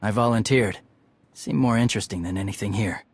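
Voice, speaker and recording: A man playing a laconic, easygoing young warrior in a video game, with a husky voice. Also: on the low side, fairly quick. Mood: understated.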